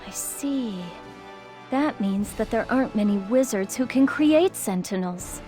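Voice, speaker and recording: A woman's voice: on the high side, expressive, unhurried, mellow, soft background music. A woman playing a young princess commander in a video game, gracious, loyal and willful, polite and earnest. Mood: thoughtful. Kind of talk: video game cutscene voice acting.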